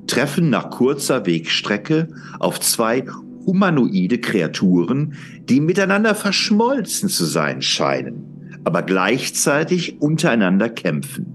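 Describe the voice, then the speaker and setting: A man narrating a scripted audio drama as storyteller. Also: lowish voice.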